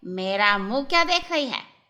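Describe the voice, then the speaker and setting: A woman's voice: fairly high pitch, sing-song, normal speed, pushed and bright. A woman voicing a cruel, scornful middle-aged aunt in a cartoon story, scolding harshly.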